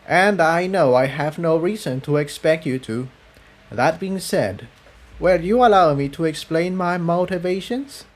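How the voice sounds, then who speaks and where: A man puts on a weary mare who has defected, in a storybook reading. The voice is lifted in pitch, slow and hesitant, with each word carefully chosen. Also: animated. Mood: earnest. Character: weary, hesitant female pony defector explaining herself.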